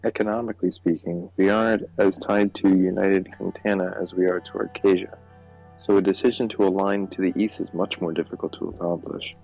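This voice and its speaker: A man voicing a measured government economic minister in a fan reading of a political game, speaking formally and explaining. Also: deep voice, little pitch movement, gravelly, darkish tone, lo-fi sound. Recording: music behind the voice.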